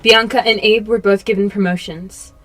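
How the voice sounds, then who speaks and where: A woman's voice: medium pitch, fairly even intonation, moderate pace, a bit bright, faint music behind, natural voice, low-quality recording. A woman reading scripted narration for a radio drama.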